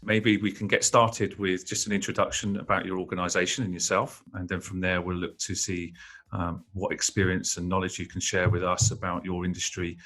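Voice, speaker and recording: A man hosting a podcast, reading a scripted intro and then asking questions in a measured way. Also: low voice, level tone, a bit bright, soft background music. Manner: measured, brief backchannels. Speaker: podcast host.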